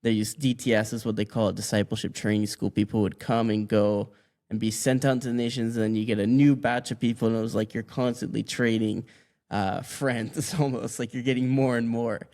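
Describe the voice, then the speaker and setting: A man in his twenties who grew up in Mexico, telling his story as a podcast guest in a relaxed, rambling way with many restarts. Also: mid-range voice, fairly quick. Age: 20s. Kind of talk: casual interview answers.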